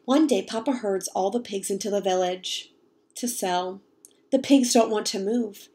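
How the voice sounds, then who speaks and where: A young woman reading a picture book aloud to children in an expressive, explanatory way. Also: medium pitch, a little slow. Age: young adult. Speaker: read-aloud storyteller, teacher. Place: home kitchen, indoors.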